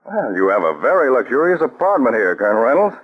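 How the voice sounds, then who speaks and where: An actor playing a calm, methodical male counterspy chief who gives crisp orders, in 1940s radio acting. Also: mid-range voice, fast talker, soft background music. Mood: polite, formal. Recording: muffled old radio recording.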